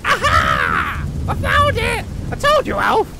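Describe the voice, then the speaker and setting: An actor voicing an excitable, crude gnome for a comedy fantasy cartoon, exclamatory and stammering. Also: very high voice, slow pace, gravelly, full character voice. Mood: gleeful. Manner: exclamatory, stammering, swooping delivery. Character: excitable foul-mouthed gnome potion-thrower, gleefully crude. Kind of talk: comedic animated fantasy voice acting. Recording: echoey sewer-like reverb with noise.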